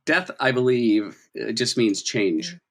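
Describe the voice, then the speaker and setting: A man's voice: medium pitch, expressive, takes it slowly. A man working as game master, improvising narration in a conversational, dramatic storytelling manner.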